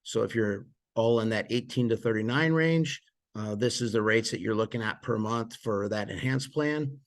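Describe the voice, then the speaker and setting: A man casually walking through insurance plan details, a little hoarse and losing his voice, with frequent fillers and restarts. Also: lowish voice, normal speed. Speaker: presenter explaining insurance plans. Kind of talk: casual explanatory walkthrough.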